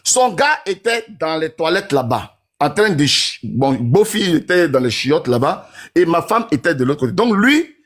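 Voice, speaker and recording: A man's voice: a little high, sing-song, normal speed. Insistent rambling man in his forties. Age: 40s. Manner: rambling and insistent, keeps asking if listeners understand. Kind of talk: casual spoken monologue.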